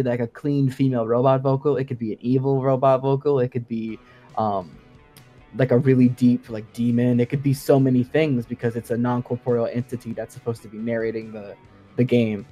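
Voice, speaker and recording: A man's voice: medium pitch, mellow. A man in a casual podcast discussion, explaining things in an enthusiastic, nerdy way. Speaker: guest sound designer.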